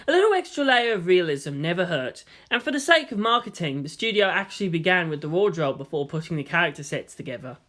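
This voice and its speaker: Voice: mid-range voice, big pitch swings, fast talker, hardly any pauses, fairly bright tone. A narrator reading a scripted story aloud as in an audiobook.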